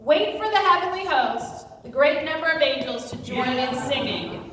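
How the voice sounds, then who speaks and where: Female storyteller heard far off in a very echoey, noisy hall, high voice, reading to a congregation. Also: normal speed. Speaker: pastor and storyteller. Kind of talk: story read aloud to a live congregation. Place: large reverberant indoor room with congregation.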